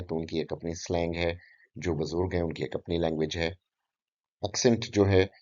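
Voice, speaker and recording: A man lecturing in a very low, dark-toned voice, laying out his points one by one with little filler pauses and english terms dropped in, all heard through dull lo-fi audio. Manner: frequent filler hesitations between phrases. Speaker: English teacher. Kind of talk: explanatory lecture.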